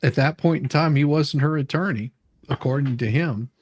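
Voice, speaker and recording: A man, co-hosting an online show with halting, repetitive commentary and read-aloud questions. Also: a little high, darkish tone, breathy edge. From Southern United States. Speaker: online show co-host reading a deposition. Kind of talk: casual commentary and dramatic reading.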